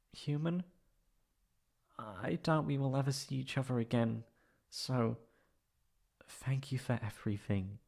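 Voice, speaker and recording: Man acting a slow, creaky-voiced tsundere catboy. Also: medium pitch. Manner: hesitant, stammering. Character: proud, flustered tsundere catboy, insecure, emotional. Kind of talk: ASMR roleplay acting.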